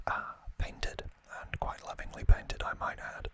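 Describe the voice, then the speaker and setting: A man hosting an ASMR unboxing, speaking in a soft, breathy whisper with an unhurried, musing manner. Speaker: ASMR video host.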